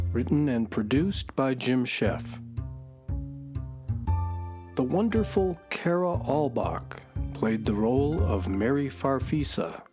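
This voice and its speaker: A woman announcer reading scripted closing credits for a children's radio show, with music behind the voice. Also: extremely low pitch.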